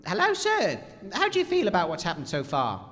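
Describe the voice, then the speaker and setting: A man playing a polite, hesitant roving radio presenter in a comedy audio drama, speaking with animated awkwardness. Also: high-pitched, fairly quick, big-room sound, exaggerated voice. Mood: awkwardly polite.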